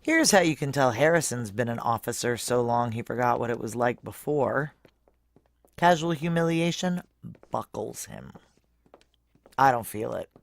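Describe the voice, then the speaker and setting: An actor playing a guarded, wry security chief in a noir audio drama, narrating and speaking in a clipped deadpan. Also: male voice, mid-range voice, moderate pace, vocal fry.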